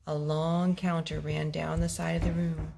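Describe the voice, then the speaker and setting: A woman reading a picture book aloud at home, expressive and gentle, as a host talking to small children. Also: sits low, a little slow. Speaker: storytime host, reader to young children. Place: home living room with wooden floor. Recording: home video with clear background noise.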